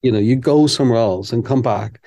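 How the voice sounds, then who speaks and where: Mellow-voiced man, candid. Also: mid-range voice, a little fast, gravelly. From Iceland. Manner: candid, reflective, thinks aloud. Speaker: composer guest. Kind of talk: casual interview chat.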